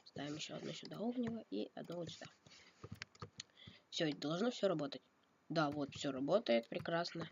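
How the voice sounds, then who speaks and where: A man's voice: a little high, animated, moderate pace, vocal fry, pauses often. A slightly ill man giving a casual game tutorial, thinking aloud and correcting himself, coughing now and then. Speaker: game tutorial video maker. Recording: dull lo-fi mic with noise. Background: keyboard and mouse clicks.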